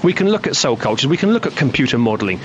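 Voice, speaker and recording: A man speaking as a radio guest, firm and measured, listing his points one by one. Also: mid-range voice, very animated, dull audio, slight background noise.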